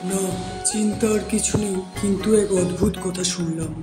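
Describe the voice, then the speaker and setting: A man's voice: high voice, fairly even intonation, normal speed, lightly acted. A man voicing curious village men in a Bengali cartoon moral story, with music underneath. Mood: intrigued.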